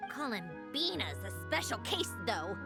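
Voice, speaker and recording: A haughty, prickly young game heroine speaks in a high voice that swings widely in pitch. She is dry and sardonic, with a soft side kept hidden, over clear background music. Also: big pitch swings, unhurried, exaggerated voice. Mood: grudging fondness. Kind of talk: video game voice acting.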